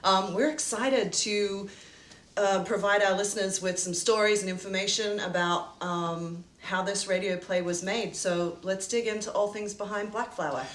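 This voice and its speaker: A woman's voice: mid-range voice, steady tone, moderate pace. A woman producer opening the show, friendly and a bit read, with some fillers. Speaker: producer and co-host. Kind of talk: scripted show intro. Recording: roomy, faint music.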